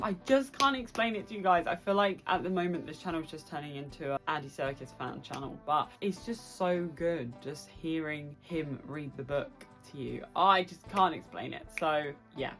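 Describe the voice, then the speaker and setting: A woman hosting a chatty book vlog, speaking playfully and effusively with dramatic asides. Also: deep voice. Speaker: book review vlogger and host. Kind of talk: chatty solo vlog to camera.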